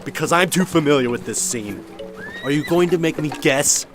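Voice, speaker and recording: A man's voice: on the high side, animated, moderate pace, natural voice. Grim monster hunter played by a man, imperious, theatrical, widely swinging intonation, music underneath. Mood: stern, demanding. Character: commanding male monster hunter, grim, world-weary interrogator. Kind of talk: radio drama acting. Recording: loud music behind the voice.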